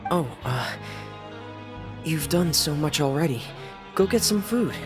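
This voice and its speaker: A man's voice: on the high side, animated, measured pace, loud music behind the voice. A man playing a reserved, self-doubting young game character who speaks in a hesitant, subdued way. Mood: subdued, grateful. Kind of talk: video game voice acting.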